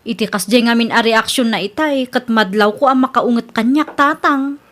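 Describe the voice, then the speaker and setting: Young single mother, female actor, fairly high voice, polite yet firm, radio drama. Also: moderate pace, fairly bright tone, lightly acted. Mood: apologetic. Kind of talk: Ilocano radio drama acting.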